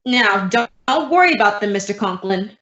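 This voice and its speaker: A woman playing a wry, quick-witted schoolteacher with dry, deadpan delivery in old-time radio comedy style. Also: medium pitch, lightly acted. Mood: soothing. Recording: roomy, dull lo-fi recording.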